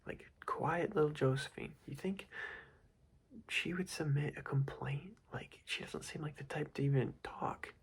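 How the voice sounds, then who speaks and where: A man's voice: a little high, measured pace, vocal fry, slightly rough. A young man whispering an ASMR roleplay as a boss, scolding in a casual, rambling way with many fillers. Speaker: ASMR creator playing a boss.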